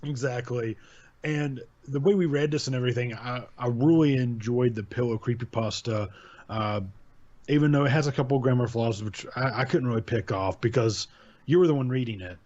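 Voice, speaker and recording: A man's voice: medium pitch, moderate pace, darkish tone, dull audio. A man hosting a casual chat about horror stories, rambling, repeating his words and laughing often. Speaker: channel host.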